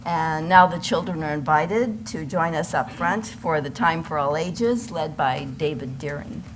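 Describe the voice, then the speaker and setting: An older woman with a low-pitched voice addresses a congregation with announcements and shared concerns. She is speaking live at a service, with some faint noise around her. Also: a little slow. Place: church service.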